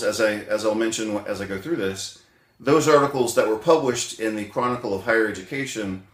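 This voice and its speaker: A man's voice: on the low side, a bit bright, reverberant. A man recovering from bronchitis, a little wheezy, talking casually to his viewers in a rambling way, with many fillers and self-corrections. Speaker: chiropractic doctor and essay author. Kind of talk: casual spoken vlog, self-recorded.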